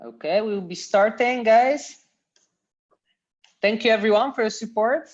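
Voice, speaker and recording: A woman's voice: a little high, a little slow. A woman from Poland teaching a casual online Dutch class, giving instructions in a friendly, hesitant way with fillers. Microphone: computer mic on a video call. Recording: dull lo-fi video call audio.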